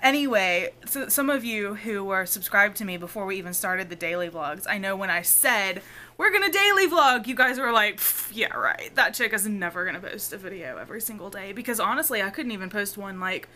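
A young woman, chatting casually to a handheld camera at home at night, loud and laughing often. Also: medium pitch, animated, brisk. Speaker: family vlogger. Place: home kitchen and living room at night. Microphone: handheld camera at arm's length.

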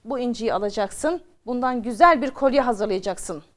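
A woman's voice: on the high side, lively intonation, moderate pace, close to an everyday voice. A woman storyteller voicing a king's male messenger, officious and calling out commands in a children's tale.

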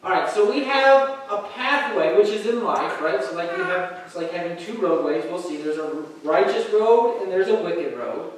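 A man's voice: high voice, moderate pace, few pauses, slight background noise. A middle-aged man preaching a sermon in a church hall, emphatic and conversational, often checking in with "right?". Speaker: pastor. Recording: very echoey hall, heard from a distance.

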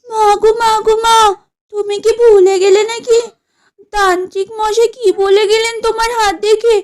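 Woman as an excitable squeaky-high cartoon housewife. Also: moderate pace. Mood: urgent, fretful. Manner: wailing, melodramatic exclamations. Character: excitable, superstitious, dramatic young housewife. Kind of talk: exaggerated comedy cartoon acting.

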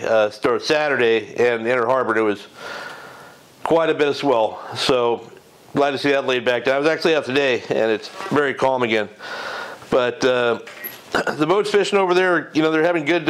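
A man's voice: mid-range voice, clean tone, low-quality recording. A middle-aged man hosting a fishing report from his garage, talking off the cuff with lots of fillers and restarts into a lapel mic. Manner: rambling, many fillers and self-corrections. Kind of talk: casual unscripted fishing report. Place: home garage, in front of a green screen.

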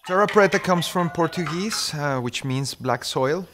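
A man's voice: a little high, moderate pace. Colombian man explaining over music. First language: Spanish. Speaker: systems engineer. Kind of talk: documentary interview. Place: indoor maker workshop. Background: background music.

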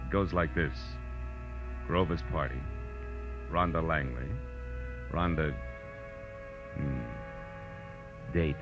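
An American man playing a wry, flirtatious hard-boiled private detective in a 1940s radio drama, deliberate and world-weary. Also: low-pitched, exaggerated voice. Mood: wry, musing. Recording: dull vintage radio recording, faint music.

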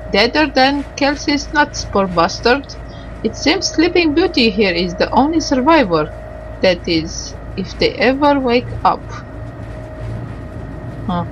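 Slow, higher-pitched woman over background noise. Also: highs cut off. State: tired. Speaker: game streamer doing a playthrough. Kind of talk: casual commentary and reading game dialogue aloud.

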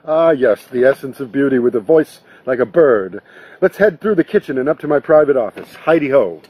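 A man voicing a glad-handing nightclub owner in a storybook, chummy and persuasive, with a husky voice. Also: medium pitch, expressive, brisk, darkish tone, no echo, rough recording. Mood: suave hospitality.

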